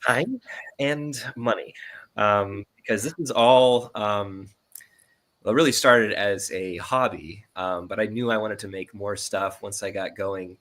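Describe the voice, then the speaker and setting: Bright-voiced man chatting in an interview. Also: fairly low pitch, measured pace. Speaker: audio drama producer and editor, interview guest. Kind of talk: casual interview chat.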